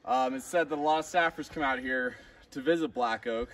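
A man's voice: mid-range voice, recorded from a distance. Young man hosting an outdoor hike. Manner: relaxed, joking, with filler pauses. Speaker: hiking video host. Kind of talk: casual outdoor vlog narration. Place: outdoors on a mountain trail.

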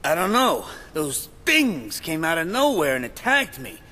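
A shaken male guard in a game cutscene, jittery and swooping in pitch. His bright, tense voice rings with long reverb. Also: huge pitch swings, a little slow, slight background noise, heavily acted. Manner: jittery, flustered. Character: rattled, nervous male asylum guard. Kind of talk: video game cutscene acting. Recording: long reverb of stone corridor.

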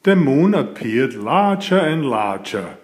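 Slow, lively male storybook narrator. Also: medium pitch. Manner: deliberate, expressive storytelling for small children. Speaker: author reading his picture book aloud. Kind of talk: scripted children's storybook narration.

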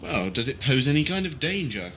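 An actor playing a stern, sarcastic military spaceship captain, curt and commanding, in a sci-fi audio drama. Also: male voice, mid-range voice, big pitch swings, a little fast, a bit bright, slight background noise. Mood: impatient. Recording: 1980s amateur audio-drama tape.

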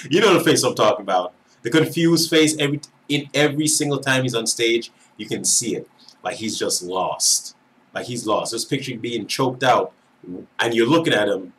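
A man's voice: lowish voice, fairly bright tone, slight background noise, low-quality recording. A man in his thirties with an Antiguan accent, chatting casually through a reaction video at his desk, laughing and asking viewers if they agree. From Antigua and Barbuda. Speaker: reaction creator. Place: small room indoors.

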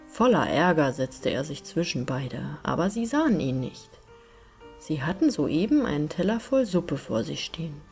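A woman's voice: fairly low pitch, darkish tone. A woman narrating a fairytale audiobook expressively, with careful phrasing.

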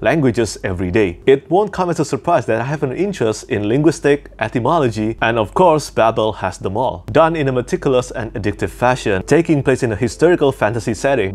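An earnest man in his thirties, a native Indonesian speaker, talks through a scripted book review with careful, precise diction. His voice sits a little low, and he sounds keen and sincere. He is recorded on a small lapel mic in a room at home. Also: a little mellow.

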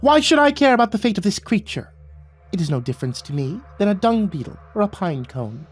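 Squeaky, pompous, high-pitched sprite king. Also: very animated, fairly quick, breathy edge, soft background music, heavily acted. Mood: exasperated. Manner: pompous, archaic proclamations. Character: tiny imperious sprite king, male, pompous and scornful.

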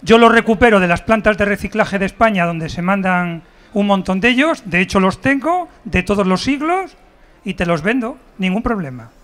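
A man's voice: sits high, animated, normal speed, fairly bright tone. A middle-aged man in a workshop audience, answering the speaker confidently into a handheld mic. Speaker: workshop participant.

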